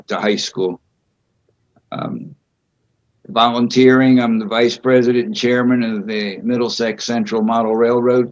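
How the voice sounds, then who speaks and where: An older man speaks at an unhurried, faltering pace, pausing often as he answers a panel's questions. His voice comes through a muffled, lo-fi video call connection. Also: fairly low pitch. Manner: slow and halting, with frequent pauses. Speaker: board candidate being interviewed. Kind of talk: answering interview questions at a public meeting. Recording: dull lo-fi video call audio.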